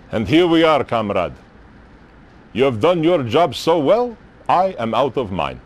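Slow-spoken older Soviet general, Russian accent. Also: male voice, medium pitch, very animated. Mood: rueful, resigned. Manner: theatrical, deliberate, pausing between short phrases. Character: weary, sardonic older Soviet general addressing a comrade. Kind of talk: dramatic game cutscene acting.

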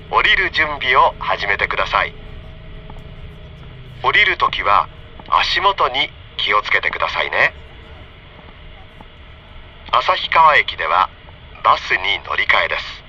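A man reading a scripted onboard train announcement for children in friendly, polite, clearly enunciated phrases, heard over a train PA. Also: on the low side, pushed and bright. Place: train car, over the PA system. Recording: dull train PA with faint noise.

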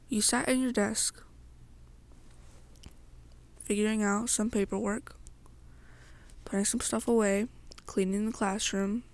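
Slow, soft-spoken young woman narrating ASMR. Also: mid-range voice, gravelly. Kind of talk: slow second-person ASMR storytelling.

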